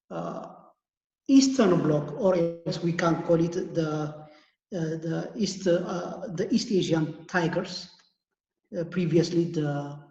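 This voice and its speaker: An audience member asking a long question in an online panel, halting with many uhs and restarts. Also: medium pitch, gravelly, warm tone. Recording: echoey distant muffled call audio.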